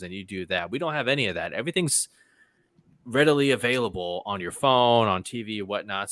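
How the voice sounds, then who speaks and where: A man's voice: fairly low pitch, fairly bright tone, dry recording. A man co-hosting a casual talk show, speaking in an emphatic, conversational way. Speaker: co-host of a movie talk show. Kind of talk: casual chat, posing questions.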